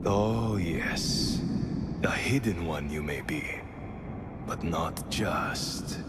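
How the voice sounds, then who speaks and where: An actor playing an arrogant, fanatical villain in a video game, with a haughty and theatrical delivery. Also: male voice, low voice, extremely slow, heavy background noise. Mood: fervent, menacing. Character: arrogant male zealot villain, cruel and fanatical. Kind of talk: dramatic video game acting.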